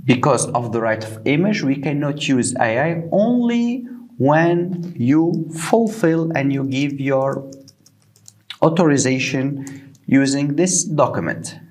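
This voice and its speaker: Slow, animated man teaching. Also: fairly high pitch, poor audio. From Tunisia. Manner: slow and deliberate, repeating words for the learner; non-native English phrasing. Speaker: Arabic teacher. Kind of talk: beginner language lesson.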